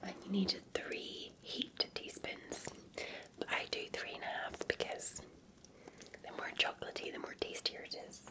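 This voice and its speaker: A Scottish woman speaking in a soft, breathy whisper, gently and soothingly chatting to the listener as a barista in an ASMR roleplay. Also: unhurried, rough recording. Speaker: ASMR performer playing a cafe barista. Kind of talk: ASMR roleplay, unscripted-sounding chat to the listener.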